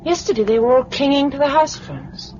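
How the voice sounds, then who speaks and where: A woman's voice: high-pitched, fairly quick, darkish tone, lightly acted. An actress playing a concerned, compassionate woman survivor in a 1950s radio drama, earnest and anxious. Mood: watchful. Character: Gisela, concerned, compassionate young female survivor. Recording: muffled, noisy vintage radio recording.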